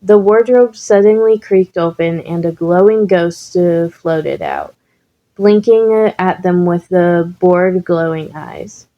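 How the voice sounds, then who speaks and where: A woman's voice: on the low side, takes it slowly, highs cut off. A woman reading fan fiction aloud as scripted story narration. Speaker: podfic narrator.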